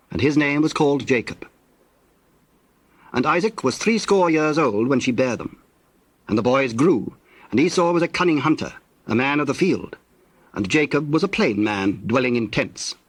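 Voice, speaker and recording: A man narrating scripture from a script in a measured, formal storytelling manner. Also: medium pitch, a bit bright, breathy edge, no room sound.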